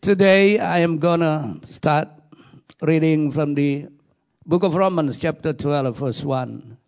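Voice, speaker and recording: Indonesian-accented male guest preacher, middle-aged, slightly high voice, halting English with many "uh"s. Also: creaky. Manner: hesitant, repeats words, frequent "uh" fillers. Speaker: guest pastor. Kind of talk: sermon introduction.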